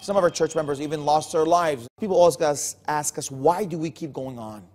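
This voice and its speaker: Higher-pitched man, earnest and emphatic. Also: brisk, a little mellow. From Jerusalem and Bethlehem region. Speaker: pastor being interviewed. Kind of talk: earnest interview testimony.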